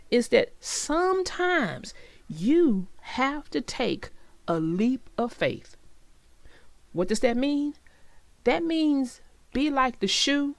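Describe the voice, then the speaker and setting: A woman storyteller speaks to young listeners with an expressive, reassuring delivery. Her voice sits fairly high and moves widely in pitch, while she takes her time over the words. She addresses the children directly, as a read-aloud narrator does. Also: dry recording.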